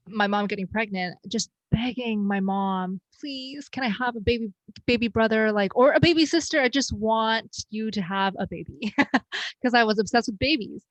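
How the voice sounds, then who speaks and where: Soft-spoken woman in her twenties, dry sound. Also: fairly high pitch, moderate pace, no room sound, rough recording. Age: 20s. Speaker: guest. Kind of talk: casual chat.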